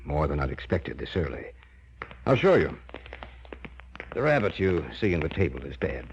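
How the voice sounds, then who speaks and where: An obsessed older scientist with a very low, highly animated voice. He is persuasive and theatrical in the manner of 1950s radio drama. The old recording is dull, with some noise behind him. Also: normal speed, vocal fry, heavily acted. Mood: confident, intent.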